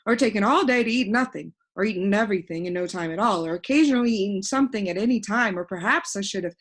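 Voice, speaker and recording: A woman's voice: medium pitch, quick pace, lightly acted. A woman putting on a pompous, fickle bug courtier. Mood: distressed, wheezy. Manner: flowery, repetitive, emphatic speech.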